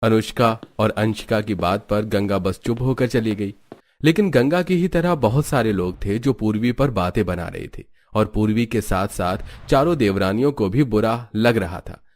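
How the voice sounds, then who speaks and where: A man's voice: fairly low pitch, animated, normal speed, a little mellow. A man reading scripted storybook narration for a cartoon family tale.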